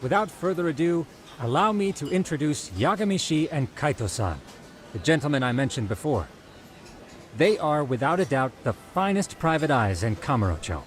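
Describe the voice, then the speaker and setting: Casting: eager bookish game sleuth, formal and wordy, lively pitch swings, faint music. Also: male voice, moderate pace. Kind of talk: English video game dub acting.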